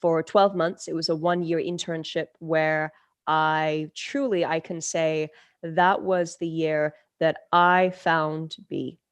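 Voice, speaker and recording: Low, flat-voiced woman telling her story. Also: takes it slowly, clear, resonant tone, no room sound. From Turkey. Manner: reflective, many fillers and restarts. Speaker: guest, spiritual teacher. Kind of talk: podcast interview storytelling.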